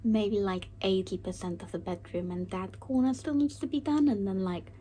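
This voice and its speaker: Croaky-voiced young woman chatting warmly. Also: mid-range voice, moderate pace. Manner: chatty, self-deprecating, laughs often. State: slightly croaky from recent illness. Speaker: vlogger. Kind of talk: casual self-care vlog chat. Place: small bedroom at home.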